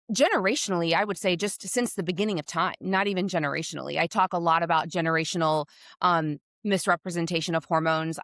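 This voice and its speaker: A woman with a low, slightly scratchy voice who speaks openly and with confidence, explaining hormone care to a podcast host. She is recorded dry and close, with no room sound. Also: fairly bright tone, dry recording. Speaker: women's hormone health provider. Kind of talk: podcast interview.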